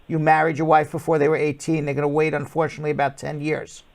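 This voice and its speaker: A man with a gravelly, fairly high voice hosts a live call-in show. He answers callers in a brisk, blunt, matter-of-fact way. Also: little pitch movement. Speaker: immigration lawyer hosting a call-in show.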